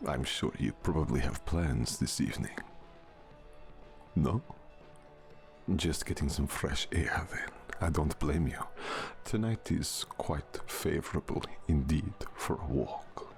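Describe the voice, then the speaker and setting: Casting: male actor as ancient vampire count, extremely low and slow, suave, formal, intimate ASMR drama with music. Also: full character voice. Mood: suave, amused. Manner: formal, measured, suave, often breathy. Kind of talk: intimate ASMR audio roleplay. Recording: music behind the voice.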